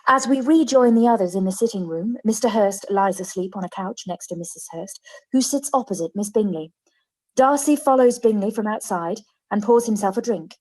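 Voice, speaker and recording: A woman's voice: mid-range voice, normal speed, a little mellow, rough recording. A woman reading scripted stage directions as the narrator of a radio play.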